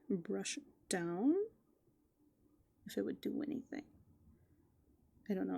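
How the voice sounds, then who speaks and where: A woman doing improvised ASMR roleplay, softly and playfully musing aloud, slipping into breathy whispers close to the microphone. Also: lowish voice, no echo. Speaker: ASMR performer in a raccoon avatar persona.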